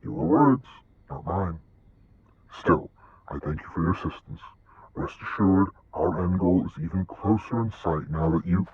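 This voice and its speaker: A man playing a courteous but menacing villain in an audio drama, speaking in a formal, measured, condescending way. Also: deep voice, lively intonation, narrow, tinny audio, crowd noise. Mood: smug.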